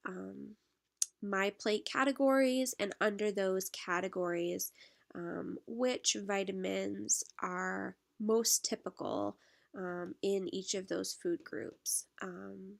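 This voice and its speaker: A woman teaches a recorded slide lecture, her voice thick and stuffed up from allergies. Her mid-pitched voice has a gravelly edge, and she stops often on a drawn-out "um" as she explains. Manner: lots of "um" fillers, relaxed explaining. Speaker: instructor.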